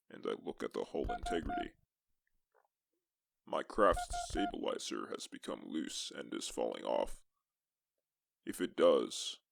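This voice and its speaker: An extremely low voice, thick with vocal fry, a man playing a solitary space explorer. He narrates a sci-fi suspense tale in the first person, measured and deliberate. The sound is dry and close, with no room around it. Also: no room sound, full character voice. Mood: grave, suspenseful. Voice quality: gravelly, creaky. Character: lone male astronaut explorer recounting a tense exoplanet mission.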